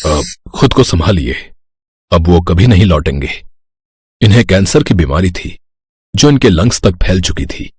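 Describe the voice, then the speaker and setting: A man acting a plainspoken adult male character in an animated story, with expressive delivery. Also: sits low, moderate pace, pauses often, breathy edge, no echo, studio quality, exaggerated voice. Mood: grave.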